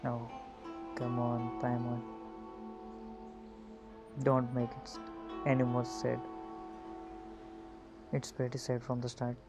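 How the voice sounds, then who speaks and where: A gamer giving casual, halting, musing gameplay commentary in a murky, muffled voice through an earphone mic. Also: medium pitch, monotone, over music. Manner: halting, musing, many hums. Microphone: phone earphone mic.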